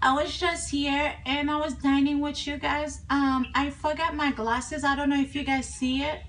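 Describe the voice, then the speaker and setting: A woman's voice: high-pitched, level tone, normal speed, a bit bright. A young woman speaking casually, making prank phone calls from a small room at home. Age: young adult. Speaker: prank caller. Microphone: camera a few feet away. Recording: distant microphone with faint noise.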